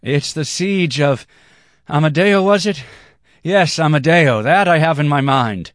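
A man voicing a drunken hunchbacked dancer, an excitable and effusive flatterer in a story. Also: mid-range voice, moderate pace, dry recording, studio quality, pitched above the performer's own voice, close to an everyday voice. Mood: excited. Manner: effusive, exclaiming.

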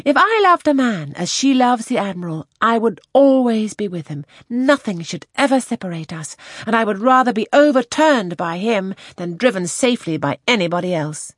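A woman's voice: medium pitch, very animated, moderate pace, hardly any pauses, no echo, clean studio sound, pitched above the performer's own voice. A woman reading a classic novel, voicing a spirited, headstrong young woman with fervent, emphatic eagerness. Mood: ardent, eager. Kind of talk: classic novel audiobook character voice.

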